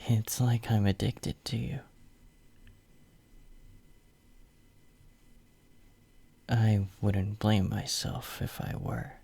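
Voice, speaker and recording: Slow, whispering devoted young hero played by a man. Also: lowish voice, fairly even intonation, warm tone, exaggerated voice. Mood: bashful, smitten. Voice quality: breathy, whispery. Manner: slow, tender, intimate murmuring. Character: devoted, possessive young male hero soothing his lover. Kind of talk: whispered romantic ASMR roleplay. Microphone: close microphone.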